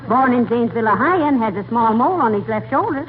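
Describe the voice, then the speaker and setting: An actress playing a feisty, teasing, bossy wife in a 1940s radio comedy. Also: female voice, fairly high pitch, animated, fast, narrow, tinny audio, close to an everyday voice. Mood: proud, enthusiastic. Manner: teasing, colloquial banter. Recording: noisy vintage radio recording, thin band.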